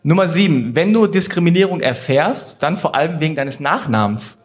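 A man's voice: fairly high pitch, expressive. A man hosting a casual interview segment in front of a live audience, joking and polling the crowd as he reads out his prompts. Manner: playful, quick, polls the audience. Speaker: host.

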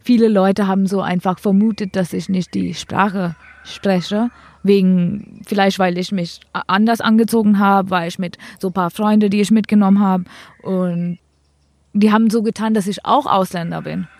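A woman's voice: medium pitch, fairly even intonation, normal speed, a little mellow, dry recording. A young woman from India with an Indian accent, a cricket player answering interview questions in simple, hesitant German full of fillers.

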